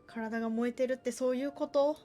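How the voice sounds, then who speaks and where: A woman's voice: sits high, fairly even intonation, rapid-fire, mellow, faint music behind. A woman doing casual live commentary as a game streamer, chatting and reacting into a streaming microphone. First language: Japanese.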